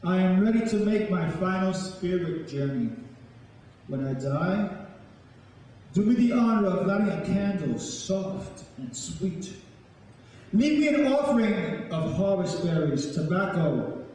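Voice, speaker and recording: A man from the South Bronx, speaking as a spoken word poet on stage in a declamatory, deliberate manner. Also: sits high, lively intonation, warm tone, slight background noise. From South Bronx, New York. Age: middle-aged or older. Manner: declamatory, solemn, deliberate phrasing. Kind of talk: dramatic live poetry recitation with spoken introduction. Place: large indoor hall, live performance before an audience. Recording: echoey hall, distant microphone.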